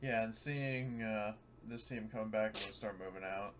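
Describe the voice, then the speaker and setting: A man's voice: on the low side, moderate pace, a little hiss or hum. A man talking casually as a player in a tabletop roleplaying game.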